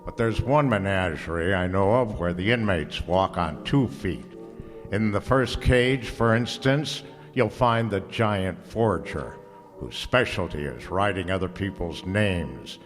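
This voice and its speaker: A hard-boiled, heavyset detective acted by a man tells his case in a low, deliberate voice. He is wry and sardonic, in old radio drama fashion, with hall reverb around him and music behind. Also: lively intonation. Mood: wry, ominous.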